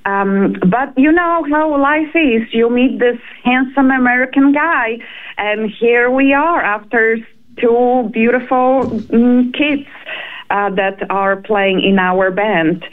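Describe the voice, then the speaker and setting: A woman with a Polish accent, a musician guest calling in by phone and speaking haltingly with many fillers. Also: fairly high pitch. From Poland. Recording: tinny phone line.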